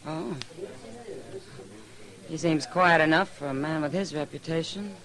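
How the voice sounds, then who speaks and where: A sardonic, world-weary saloon woman of the frontier. Her American voice is high, and she speaks in old-time radio drama style. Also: measured pace, fairly bright tone, rough recording. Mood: fed up.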